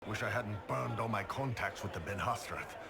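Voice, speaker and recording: A man playing a big, gruff, wry mercenary chief in a video game, speaking slowly and laconically. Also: fairly low pitch. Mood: wry, wistful. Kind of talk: video game character dialogue. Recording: music and crowd noise behind.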